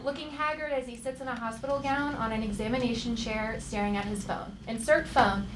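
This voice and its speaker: A woman's voice: on the high side, steady tone, fairly quick. A woman narrating the stage directions of a live comedy table read. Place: theater stage, live show. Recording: echoey live theater, noisy room.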